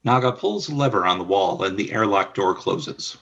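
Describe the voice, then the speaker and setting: A man's voice: on the low side, animated, moderate pace, gravelly, fairly bright tone, lo-fi sound. A man narrating the stage directions of a radio play in a plain, matter-of-fact way.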